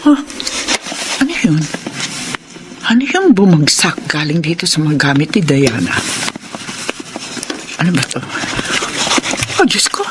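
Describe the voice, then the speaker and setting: A bitter, grieving old grandmother in a radio melodrama. Her accusing voice swings widely in pitch, agitated and emotional, over a noticeably noisy track. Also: very animated, a little slow, long pauses. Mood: alarmed suspicion. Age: older. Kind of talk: Filipino radio drama acting.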